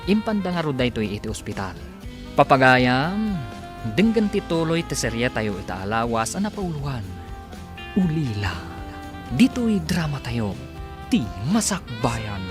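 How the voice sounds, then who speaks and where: A woman's voice: extremely low pitch, sing-song, unhurried. A woman with an Ilocano accent narrating and announcing a scripted radio drama serial. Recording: music under the voice.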